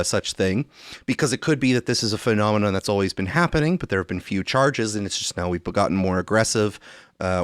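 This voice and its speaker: A man hosting a podcast, talking casually and thinking aloud in a halting, rambling way, often rephrasing mid-sentence. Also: fairly low pitch, no room sound, clean studio sound. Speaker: podcast host.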